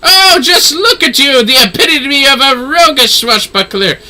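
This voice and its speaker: A flamboyant game aristocrat, acted out by a man, speaks in a high, swooping, very bright voice. He is grandiose and gushing, stretching out his florid exclamations. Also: lively intonation, heavily acted, low-quality recording. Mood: gushing, persuasive. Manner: florid, exclaiming, drawn-out delivery.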